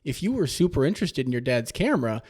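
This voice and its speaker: A man's voice: mid-range voice, sing-song, quick pace, darkish tone. A man co-hosting a podcast in casual discussion, thinking aloud in loose phrases and posing questions. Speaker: podcast co-host.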